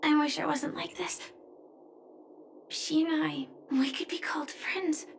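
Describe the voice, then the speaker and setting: A woman voicing a grieving but resolute young knight in a video game, solemn, restrained and softly breathy. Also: high voice, fairly even intonation, a little slow, a little hiss or hum. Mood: quiet grief. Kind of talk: dramatic video game voice acting. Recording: roomy echo, music underneath. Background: music.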